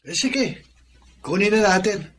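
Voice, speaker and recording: An actor playing a thoughtful, agreeable young son with a husky voice in a Filipino radio drama. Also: male voice, sits high, lively intonation, measured pace, a bit bright, exaggerated voice. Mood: hopeful.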